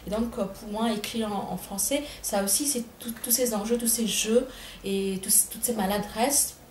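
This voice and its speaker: A middle-aged woman from India speaks with an Indian accent, choosing her words slowly and reworking her phrases as she goes. Her voice carries some room echo, and a faint ambient hum sits underneath. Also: mid-range voice, a little mellow. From Bengal, India. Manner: thoughtful, many hesitations and self-corrections. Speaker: writer. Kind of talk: reflective interview answers.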